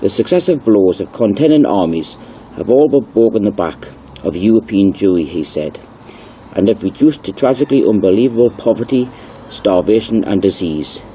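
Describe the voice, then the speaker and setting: A man with a low, dark, relaxed voice narrates in an informal way. He reads passages aloud and repeats key phrases for emphasis. There is faint noise behind his voice. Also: normal speed, rough recording. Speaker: narrator presenting old newspaper articles. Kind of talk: informal video commentary with read-aloud passages.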